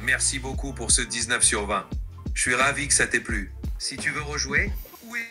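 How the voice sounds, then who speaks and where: A man's voice: medium pitch, normal speed, over music. A synthetic male voice assistant answering politely.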